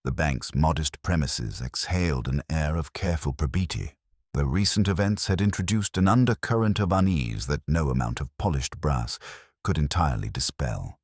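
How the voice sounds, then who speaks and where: A man narrating a scripted mystery story in a measured, formal, literary manner. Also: very deep voice, breathy edge, no echo, studio quality.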